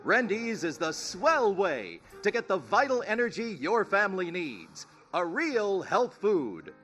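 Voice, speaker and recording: An actor playing a chipper, folksy 1930s radio announcer with a polished, salesmanlike delivery. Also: male voice, high voice, sing-song, measured pace, a bit bright, faint music behind, heavily acted. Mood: upbeat selling.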